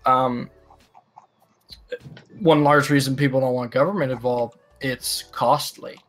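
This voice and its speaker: A man with a mid-range voice talks casually and explains his views haltingly, circling back over phrases. Faint music plays underneath. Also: medium pitch, steady tone, a bit bright. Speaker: livestream talk participant. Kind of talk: casual opinionated discussion.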